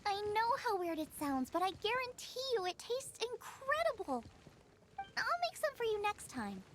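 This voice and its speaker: A woman's voice: extremely high pitch, sing-song, normal speed, slight background noise. A woman voicing a cheerful, eager teenage girl chef in a video game, perky and confident. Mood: reassuring.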